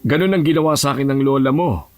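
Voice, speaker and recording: A man playing a gentle old grandfather, mid-pitched. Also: fast talker, lightly acted. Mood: regretful, reflective. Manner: plain-spoken, fatherly. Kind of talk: Tagalog audio drama acting.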